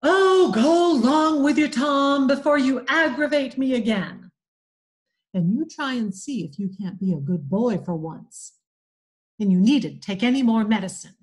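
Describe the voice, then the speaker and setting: A woman voicing a stern but soft-hearted older aunt scolding a boy. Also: on the high side, lively intonation, unhurried. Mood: exasperated. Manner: scolding, exasperated.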